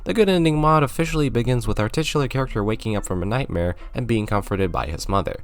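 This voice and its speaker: A man's voice: medium pitch, lively intonation, fast talker. A man narrating a scripted video game review, with sarcastic, joking asides.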